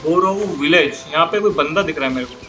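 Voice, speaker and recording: A man's voice: on the high side, racing, over music. A man with an Indian accent, chatting casually as he commentates on a live game stream. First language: Hindi. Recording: laptop stream with background noise. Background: game audio.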